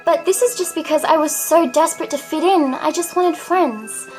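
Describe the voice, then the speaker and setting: A young woman, probably in her teens, talking to camera in a confessional vlog, with a high, girlish voice and a dramatic, self-mocking manner. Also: moderate pace, darkish tone, faint music behind, poor audio. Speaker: vlogger.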